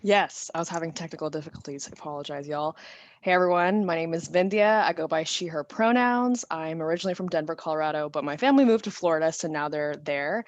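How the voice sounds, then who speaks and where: A woman's voice: low-pitched, quick pace, dry recording, dull audio. A young woman student speaking on an online panel in a friendly, casual way. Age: young adult.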